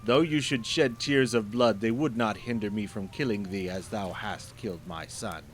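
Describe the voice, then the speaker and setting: A man voicing a huge, ancient, vengeful male genie, booming and menacing in archaic speech, with faint music and rain behind. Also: normal speed, close to an everyday voice.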